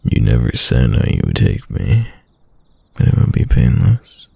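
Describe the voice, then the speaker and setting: Devoted boyfriend for late-night roleplay ASMR, acted by a man: extremely deep, heavy vocal fry, tender murmur. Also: wildly swooping pitch, a little slow, dark tone, no echo, heavily acted. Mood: teasing affection. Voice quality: deep, gravelly, breathy. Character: devoted soft-spoken boyfriend whispering to his sleeping lover.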